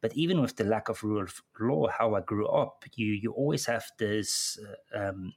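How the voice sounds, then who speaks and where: A middle-aged man with a South African accent, telling his own story in an interview, halting and often repeating words as he restarts. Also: fairly low pitch, vocal fry, a little mellow. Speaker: interview guest. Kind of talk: personal account in conversation.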